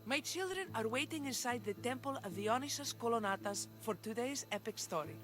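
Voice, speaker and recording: A woman's voice: on the high side, animated, brisk, a bit bright, faint music behind. An actress playing an effusive, exasperated mother in ancient Greece, in a video game. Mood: persuasive. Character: effusive, exasperated Spartan-era mother seeking help. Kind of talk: scripted video game cutscene acting.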